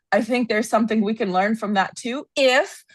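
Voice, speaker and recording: A woman in her thirties from Los Angeles with an American accent, chatting as an interview guest, animated and laughing often. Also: fairly high pitch, unhurried, no room sound. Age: 30s. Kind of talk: casual interview chat.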